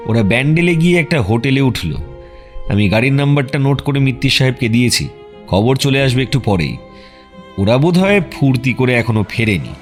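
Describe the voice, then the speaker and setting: Self-assured male actor as a shrewd detective, mid-pitched. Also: animated, fairly quick, natural voice. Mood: confiding. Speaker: detective character. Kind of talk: audio drama acting. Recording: music behind the voice. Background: music.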